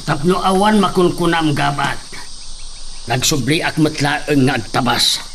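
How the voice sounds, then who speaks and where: A male actor plays an aggrieved old labouring father in a radio drama. He speaks earnestly with quiet resolve, slightly high in pitch, over faint background noise. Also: a little slow, vocal fry.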